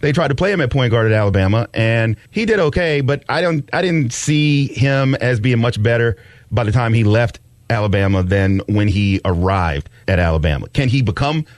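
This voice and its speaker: A man's voice: mid-range voice, expressive, normal speed, no room sound. A man hosting sports talk radio, giving opinionated, conversational, unscripted commentary. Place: dry radio studio.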